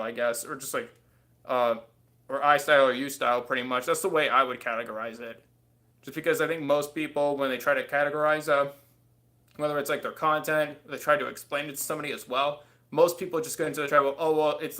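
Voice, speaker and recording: A man's voice: fairly high pitch, pushed and bright. A man in his thirties hosting a casual livestream from a small bedroom, rambling with lots of fillers into a desk webcam. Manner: rambling, many fillers and "too". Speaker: livestream host. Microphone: webcam on desk, close.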